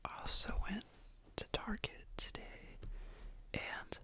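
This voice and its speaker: An exhausted ASMR creator rambling very softly in a hushed, sleepy whisper late at night in a bedroom. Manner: very soft, hushed, unhurried rambling. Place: small bedroom, lying in bed at night.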